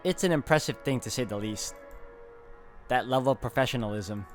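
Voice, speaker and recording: A man's voice: medium pitch, brisk. Male game streamer who reads story text dramatically and adds his own reactions, faint music underneath. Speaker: gaming video host. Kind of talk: dramatic read-aloud narration with reactions.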